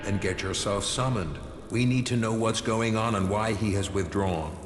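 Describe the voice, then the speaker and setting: Man playing a low-voiced, blunt officer, echoing hall. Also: moderate pace, soft background music, close to an everyday voice. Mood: impatient. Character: blunt, frustrated male senior officer serving a master. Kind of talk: video game voice acting. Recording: echoey hall reverb, clear background noise.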